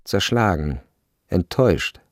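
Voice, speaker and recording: A man narrating a literary radio drama from a script, measured and precise in his storytelling. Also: low voice, expressive, no room sound, clean studio sound.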